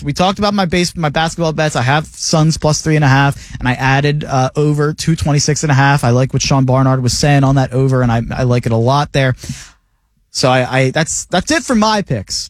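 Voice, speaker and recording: A man hosting a sports betting radio show in a studio, rattling off his picks in a jokey, rapid-fire way. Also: mid-range voice, no room sound. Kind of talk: casual sports radio talk. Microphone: studio mic.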